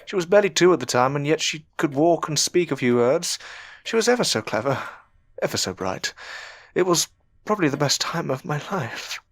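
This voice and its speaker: A man acting a grieving, ashamed Victorian widower in an audio drama, speaking in a melancholy, halting way with sighs. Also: fairly high pitch, expressive. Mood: mournful. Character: grieving, ashamed Victorian widower in his twenties or thirties, self-reproaching. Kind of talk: audio drama acting with first-person narration.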